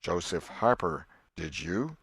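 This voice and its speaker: A man voicing a stern, wrathful schoolmaster in a storybook, questioning pupils in a deliberate, accusing way. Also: deep voice, sing-song, vocal fry, a bit bright, breathy edge, dry recording, studio quality, exaggerated voice.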